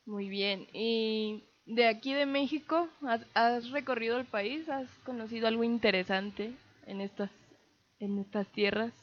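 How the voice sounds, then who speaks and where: A woman's voice: a little high, unhurried, dull audio. A woman hosting a casual radio interview, chatty and friendly in her questions. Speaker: radio host.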